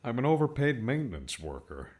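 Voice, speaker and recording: A man voicing a gruff, grumbling older maintenance man in an audiobook, with a wry, folksy manner. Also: mid-range voice, big pitch swings, a little fast, a little mellow, voice pushed up, lightly acted.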